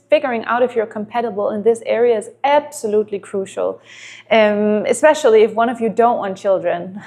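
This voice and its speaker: A woman's voice: mid-range voice, darkish tone. A woman from Denmark, a native Danish speaker in her thirties, giving earnest relationship advice to camera and asking many rhetorical questions.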